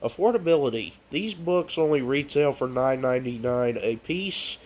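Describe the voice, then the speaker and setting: A man's voice: mid-range voice, animated, darkish tone. A man with a stuffy nose from a cold, chatting casually in a vlog, showing off his book collection with plenty of ums and uhs. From United States. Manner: slow and unhurried, with frequent ums and uhs.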